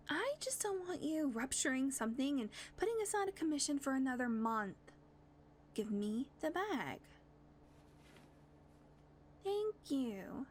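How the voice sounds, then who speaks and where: A sardonic, ageless female vampire, played by a woman in an intimate audio drama. Her voice sits high, and she teases in a dry, blunt way, often dropping into soft whispers. A faint hiss of background noise runs underneath. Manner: dry teasing with frequent soft whispered asides. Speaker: audio roleplay performer. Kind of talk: intimate audio roleplay acting.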